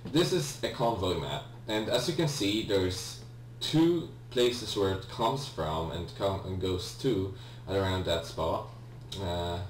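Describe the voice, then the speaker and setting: Guy doing a lets play, deep voice, kinda rambly and hesitant, mic sounds far off w some hum. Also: expressive, takes it slowly, few pauses. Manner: hesitant, rambling, self-correcting. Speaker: let's player, video game host. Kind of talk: casual gameplay commentary. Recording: roomy, distant mic with faint hum.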